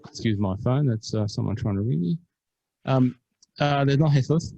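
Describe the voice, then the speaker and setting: A man's voice: medium pitch, animated, moderate pace, gravelly, dark tone, dry recording, highs cut off, soft background music. A man co-hosting a golf podcast in casual chat, playful and mock-earnest, hamming up foreign pronunciations. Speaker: podcast co-host.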